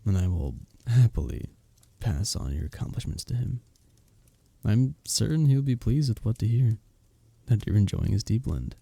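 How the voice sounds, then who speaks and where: A man playing a gentle, earnest village innkeeper in a medieval ASMR roleplay, speaking softly and intimately in formal, old-world phrasing. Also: lowish voice, lively intonation, normal speed, creaky, husky, no echo, heavily acted. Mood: flattered, fond. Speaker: audio roleplay performer. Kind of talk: ASMR roleplay, intimate one-sided conversation.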